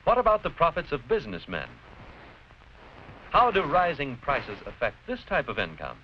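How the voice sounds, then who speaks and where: An American man giving scripted educational narration in a deliberate, clearly explanatory, didactic manner. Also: fairly high pitch, huge pitch swings. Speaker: narrator-presenter of an educational film. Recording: dull, noisy vintage educational film soundtrack.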